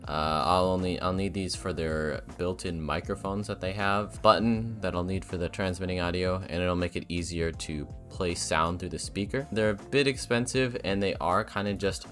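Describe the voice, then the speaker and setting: Young man, deep register, informal how-to voiceover for a hobby build, light music underneath. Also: moderate pace, few pauses, a bit bright. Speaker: hobbyist project vlogger. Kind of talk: casual tutorial narration of a DIY electronics project.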